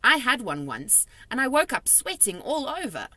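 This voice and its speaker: A woman's voice: on the high side, very animated, normal speed, bright, forceful tone, no echo, voice pushed up, exaggerated voice. A woman voicing a curious young girl who asks earnest questions.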